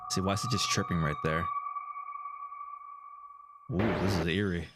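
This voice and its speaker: A man's voice: low-pitched, steady tone, measured pace, over loud music. A man hosting a reaction video, giving casual, conversational commentary, musing aloud and interjecting.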